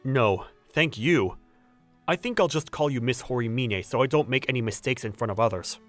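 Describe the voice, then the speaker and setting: A man's voice: mid-range voice, moderate pace, faint music behind, natural voice. A young man playing a polite, cautious new employee, speaking dialogue and first-person narration in a manga dub. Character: hesitant, polite young man, newly hired junior employee.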